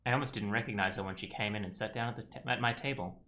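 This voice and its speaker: A man's voice: fairly low pitch, racing, pushed and bright. A man doing casual let's play commentary, rambling and self-deprecating. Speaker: game streamer.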